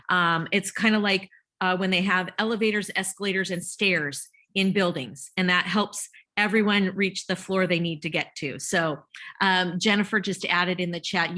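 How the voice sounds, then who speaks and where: Woman, workshop presenter, bright tone, chatty, lots of fillers, computer mic. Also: mid-range voice. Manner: chatty, with many fillers and restarts. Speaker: teacher-trainer presenting a workshop. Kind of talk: casual conference presentation.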